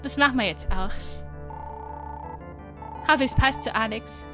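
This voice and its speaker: A woman's voice: on the high side, unhurried. A woman co-hosting a gaming livestream in casual talk, chatty and informal. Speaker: gaming stream co-host. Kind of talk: casual livestream chat and game recap. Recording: music playing behind the voice. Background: game music.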